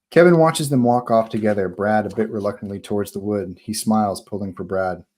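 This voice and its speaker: A man with a fairly low voice reads screenplay action and scene headings aloud. He narrates for a live table read. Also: measured pace, a little mellow, low-quality recording. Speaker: narrator reading stage directions.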